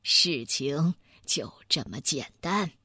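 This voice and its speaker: A woman voicing a calm, methodical male bear scientist who explains things in an unhurried, deliberate way. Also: sits low, husky, no room sound, studio quality, voice pushed down, exaggerated voice. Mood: calm, assured.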